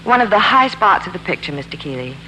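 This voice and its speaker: Woman, Hollywood radio reporter, bright animated delivery, polished, enthusiastic, scripted forties broadcast, faint hum. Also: on the low side, fast talker. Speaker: Hollywood reporter and product pitchwoman. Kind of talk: scripted 1940s radio banter and advertising. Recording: noisy, humming 1940s radio broadcast.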